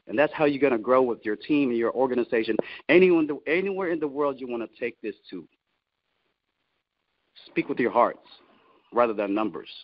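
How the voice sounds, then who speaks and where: Motivational man on a dull call line. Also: medium pitch. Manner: exhortatory, repeats words for emphasis. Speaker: company founder and team leader. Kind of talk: motivational team call talk. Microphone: remote call. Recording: dull, lo-fi conference call audio.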